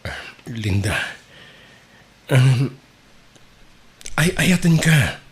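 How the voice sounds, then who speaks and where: Young rejected suitor, earnest, hesitant, unhurried delivery, expressive intonation, Ilocano accent, radio comedy drama. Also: male voice, mid-range voice. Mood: earnest, lovesick. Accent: Ilocano Filipino. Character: lovesick, earnest young male suitor, persistent though rejected.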